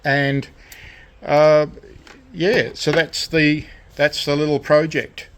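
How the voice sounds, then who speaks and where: A man giving an unscripted hands-on demonstration of a DIY electronics project, with a halting, rambling delivery. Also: on the high side, little pitch movement, smooth. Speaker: DIY electronics hobbyist presenting his project. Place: indoor radio shack workbench.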